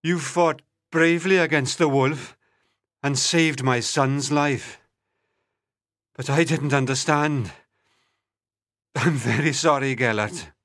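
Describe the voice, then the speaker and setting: A man playing a grieving, hot-tempered prince in a dramatised storybook legend, speaking deliberately. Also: mid-range voice, fairly bright tone, dry recording, clean studio sound. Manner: slow, deliberate, dramatic delivery. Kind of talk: dramatised storybook reading for learners.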